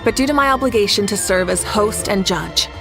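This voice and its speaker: A woman's voice: mid-range voice, fairly quick, over loud music, close to an everyday voice, low-quality recording. A woman voicing a composed, confident young female ruler in a video game, assured and good-humored.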